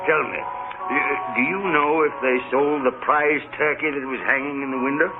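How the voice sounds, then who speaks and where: An actor playing an elderly reformed miser, giddy and joyful, in theatrical, exclamatory old-time radio drama style. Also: male voice, medium pitch, steady tone, normal speed, lightly acted. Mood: giddy delight. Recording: tinny vintage radio broadcast, faint music.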